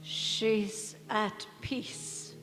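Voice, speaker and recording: A woman acts out a solemn, quietly commanding figure in a radio play. She speaks very slowly and deliberately at a medium pitch, with long hall reverb. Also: steady tone. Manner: deliberate, grave. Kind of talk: stage radio-play acting. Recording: echoey hall, music behind.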